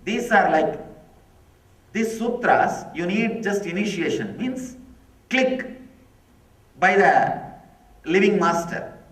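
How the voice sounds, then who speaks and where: A middle-aged man with Tamil as his first language, giving a spiritual discourse slowly and emphatically, repeating key phrases. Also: high-pitched, expressive. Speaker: spiritual teacher. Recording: echoey hall from far off.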